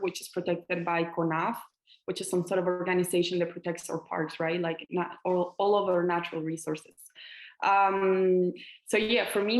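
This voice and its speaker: A woman's voice: on the low side, echoey room, poor audio. A Chilean woman in her twenties, a native Spanish speaker, answering interview questions casually with many fillers and restarts. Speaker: author guest.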